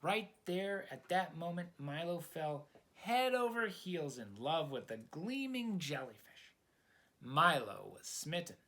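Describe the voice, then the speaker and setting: A man narrates a picture book for young children in a dramatic, expressive way. He takes his time over the phrases and moves his pitch up and down a great deal. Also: slow. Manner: dramatic, addresses young listeners. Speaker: storytime reader.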